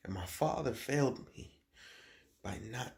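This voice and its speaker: An actor playing a confused, grieving schoolboy son in a dramatic monologue, speaking in a halting, hushed way with heavy pauses. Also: male voice, mid-range voice, expressive, creaky. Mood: bitter, let down.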